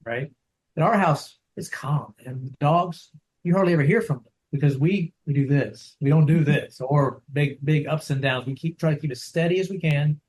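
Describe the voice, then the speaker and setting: Soft-spoken male dog trainer on a video call, a bit higher than most men, loose and rambling. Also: low-quality recording. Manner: soft-spoken, rambling with many restarts and "right?". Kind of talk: casual interview over video call.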